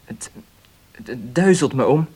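An actor playing an agitated, disbelieving young nephew in a radio drama, with a stammering, questioning delivery. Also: male voice, mid-range voice, expressive, a little slow, warm tone, husky. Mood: shaken, agitated.

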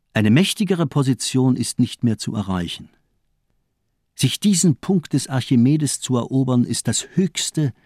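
A man's voice: medium pitch, darkish tone, dry recording, clean studio sound. A man acting in a radio drama as a fanatical, mocking doctor, declaiming with insistent, rhetorical force. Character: fanatical, mocking villainous male doctor, zealously demanding.

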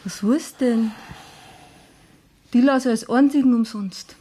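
A saucy young Bavarian girl in her late teens in a radio drama. Her voice is fairly high, and she is brazen and unbothered in her dialect talk. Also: slow pace, mellow, breathy edge. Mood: teasing, mercenary. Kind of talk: 1970s German radio play acting in dialect.

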